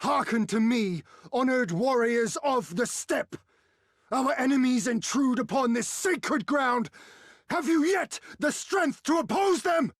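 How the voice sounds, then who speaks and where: An actor playing a spirited, noble warrior leader in a video game, eloquent and a little wry. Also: male voice, very high voice, lively intonation, slow, no room sound, studio quality, exaggerated voice. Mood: rousing. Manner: eloquent, formal. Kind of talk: theatrical video game acting.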